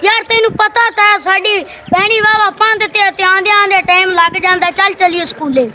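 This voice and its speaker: A woman's voice: very high-pitched, steady tone, a little fast, pushed and bright, a little hiss or hum. A woman acting a poor, downhearted young village schoolboy with a rural Pakistani Punjabi accent, complaining plainly. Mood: downhearted, explaining. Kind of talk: amateur moral drama acting. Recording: music over amateur video sound.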